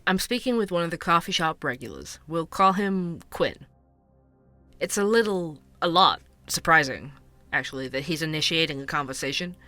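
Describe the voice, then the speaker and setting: A man's voice: a little high, lively intonation, normal speed, pushed and bright, faint music behind. An actor playing a curious, chatty male podcast host, conversational and wry in an explanatory way, in a scripted audio drama. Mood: wry, candid. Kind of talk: scripted fiction podcast narration and interviews.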